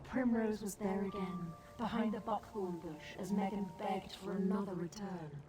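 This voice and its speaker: An actress playing a secretive, tender guardian who narrates a tragic tale in a measured, solemn way. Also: female voice, medium pitch, fairly even intonation, over loud music, natural voice. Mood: solemn, wistful. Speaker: story narrator. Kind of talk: scripted dramatic narration.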